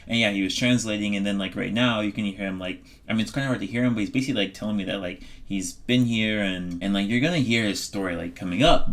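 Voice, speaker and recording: Low-voiced man, rambling casually. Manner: rambling, slangy, heavy use of "like". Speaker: travel vlogger. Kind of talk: casual voice-over recap.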